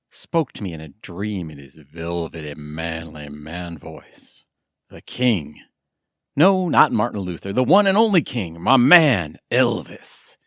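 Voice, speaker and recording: An excitable older husband, played by a man in a comedic radio play, with swooping, animated pitch and a close, dry sound. He is theatrical and scheming, quick to sound exasperated. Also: dry recording. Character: excitable, scheming retired husband obsessed with Elvis. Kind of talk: comedic audio theatre acting.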